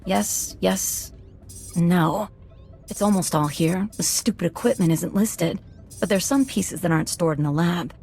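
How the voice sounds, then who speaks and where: A woman's voice: on the low side, unhurried, a little mellow, soft background music. An actress playing an eager, slightly nervy female hacker convict in a video game, self-assured when explaining. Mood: focused.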